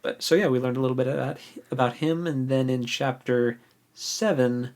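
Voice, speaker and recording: A co-host on a book podcast, speaking at a middling pitch. The talk is casual and loose, with slangy, informal recapping as in a relaxed discussion between readers. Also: moderate pace.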